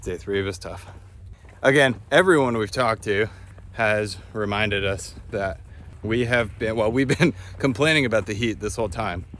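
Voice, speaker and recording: A man's voice: on the low side, normal speed, fairly bright tone. A man in his thirties chatting casually and reflectively to a phone while walking outdoors. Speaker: travel vlogger. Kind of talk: casual walking vlog chat. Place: outdoors on a countryside footpath. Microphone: phone at arm's length.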